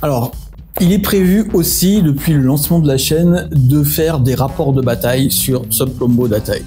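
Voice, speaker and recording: Mellow-voiced French man, rambling vlog. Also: on the high side, moderate pace, faint music behind. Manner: rambling, self-mocking, many hesitations. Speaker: miniature-hobby YouTuber. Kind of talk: casual vlog talk to subscribers.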